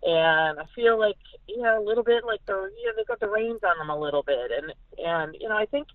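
A woman's voice: medium pitch, animated, fairly quick, no echo. An American woman giving casual, emphatic sports analysis as a guest reporter, often repeating words for emphasis. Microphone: phone call. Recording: thin, narrow phone-line sound.

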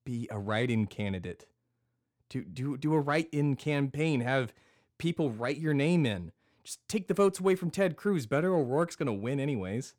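Man playing a laid-back, deadpan podcast producer. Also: mid-range voice, normal speed, close to an everyday voice. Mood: urging. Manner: hesitant, casual, deadpan. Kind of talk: scripted comedy podcast dialogue.

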